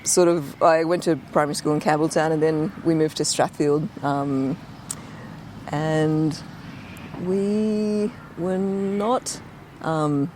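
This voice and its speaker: A woman's voice: low-pitched, steady tone, slow pace, a little mellow. Aussie woman chatting, kinda rambly, lots of ums and laughs, bit of faint hiss behind. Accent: Australian. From Australia. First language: Cantonese. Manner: rambling, hesitant, laughs often. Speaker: guest. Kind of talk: casual conversation.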